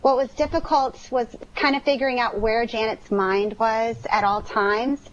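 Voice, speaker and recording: Friendly higher-voiced woman, lo-fi line. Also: fairly even intonation, moderate pace. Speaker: guest actress. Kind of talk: casual interview talk. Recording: dull lo-fi line with clear noise.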